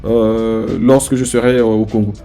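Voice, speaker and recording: A man, a software engineer, answering interview questions with calm, measured explanations. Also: fairly low pitch, faint music behind. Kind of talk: casual interview chat.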